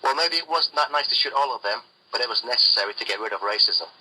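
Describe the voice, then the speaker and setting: A man's voice: mid-range voice, expressive, quick pace, bright, forceful tone, breathy edge, exaggerated voice, low-quality recording. A man voicing a deferential, trigger-happy settler henchman in a satirical cartoon. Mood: self-justifying.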